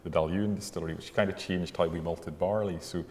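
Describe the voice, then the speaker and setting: Low-voiced Irish man in an echoing room. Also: fairly even intonation, brisk, darkish tone. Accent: Irish with some Scottish. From Ireland. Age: middle-aged. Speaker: distillery manager being interviewed. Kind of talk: casual interview. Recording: long echoing reverb, faint background noise.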